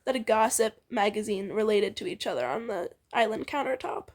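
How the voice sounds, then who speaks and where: A woman's voice: mid-range voice, fairly quick. A young woman casually reading fan fiction aloud, with a giggly, teasing manner.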